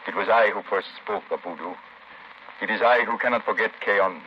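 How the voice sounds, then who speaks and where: Actor as a solemn, low-voiced plantation master. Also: male voice, close to an everyday voice. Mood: bitter, brooding. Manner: formal, measured, solemn. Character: grave widowed French plantation master, haunted and vengeful. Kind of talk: 1930s radio drama acting. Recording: muffled 1930s radio recording, faint noise.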